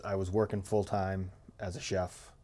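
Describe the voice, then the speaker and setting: Deep-voiced, plainspoken former chef. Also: male voice, flat delivery, normal speed, dark tone. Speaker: college student, former chef.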